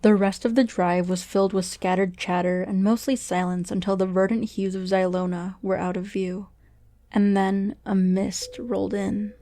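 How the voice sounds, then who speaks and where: A woman narrating a scripted radio drama in a measured storytelling manner. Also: medium pitch, level tone, dry recording. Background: soft music.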